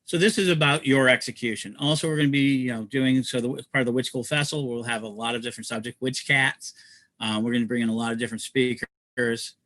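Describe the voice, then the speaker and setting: A man's voice: medium pitch, steady tone, quick pace, a bit bright, no room sound, poor audio. Man, host, rambling, enthusiastic, dry close sound. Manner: rambling, enthusiastic, many restarts. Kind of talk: promotional livestream talk.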